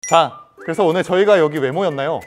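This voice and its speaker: A man in his twenties hosting a playful student chat with a lively, teasing manner. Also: fairly high pitch, quick pace. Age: 20s. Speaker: host of a student roundtable. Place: large indoor lounge with bean bags. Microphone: clip-on lapel mic. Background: light background music.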